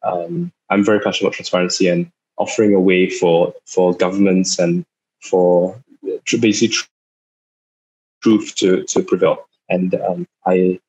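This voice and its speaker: A young man in his twenties from Singapore, a startup founder giving an online talk, speaking haltingly with many fillers and repeated words. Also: low voice, level tone, darkish tone, lo-fi sound. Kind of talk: online talk over a video call. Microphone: video call.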